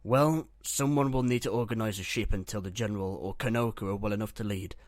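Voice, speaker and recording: A man playing a calm, reassuring ship's medic in a roleplay series, speaking matter-of-factly. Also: on the low side, brisk, dry recording, clean studio sound, close to an everyday voice. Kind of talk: Minecraft roleplay web series voice acting.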